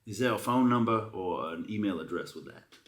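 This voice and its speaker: A man's voice: low-pitched, expressive, brisk, a bit bright. A man with a Southern US accent co-hosting a comedy show, mixing casual banter with theatrical, mock-serious readings of product reviews.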